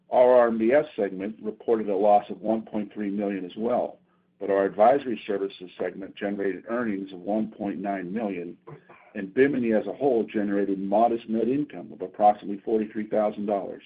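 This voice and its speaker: A man reading prepared company results on an earnings call in a formal, measured, businesslike way. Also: lowish voice, breathy edge. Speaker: company executive presenting quarterly results. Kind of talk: prepared remarks read from a script on an earnings call. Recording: thin, tinny phone conference line.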